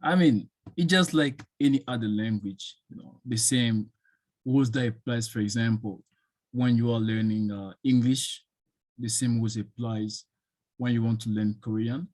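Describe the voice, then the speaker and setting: A man whose first language is French, answering questions as a guest speaker in an online session, pausing often with fillers. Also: mid-range voice, steady tone, darkish tone, no room sound. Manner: hesitant, many fillers, thinking aloud. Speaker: guest speaker on studying abroad.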